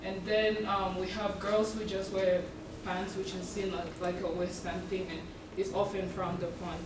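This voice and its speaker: A woman's voice: mid-range voice, flat delivery, normal speed. A young woman who grew up in Uganda and was born in South Sudan, giving an earnest student presentation with frequent ums. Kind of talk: presentation talk. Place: event room. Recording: echoey room from far off, with background noise.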